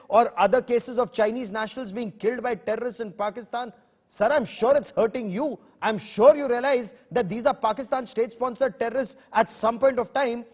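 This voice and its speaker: High-pitched, husky Indian news debate host, male. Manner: confrontational, emphatic, rhetorical questioning. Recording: dull lo-fi broadcast audio.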